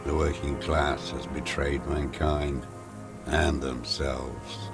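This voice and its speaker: An actor playing a bitter, weary old communist deserter and former commissar in a video game, speaking in terse, brooding phrases with a smoker's rasp. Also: male voice, rumbling low, little pitch movement, takes it slowly, exaggerated voice. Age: older. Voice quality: gravelly, coughing smoker's voice. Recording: music under the voice.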